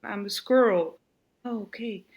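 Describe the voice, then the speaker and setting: A woman's voice: measured pace, muffled recording, lightly acted. A woman voicing a curious, chatty little squirrel puppet for a child. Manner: high, bouncy and excited questions.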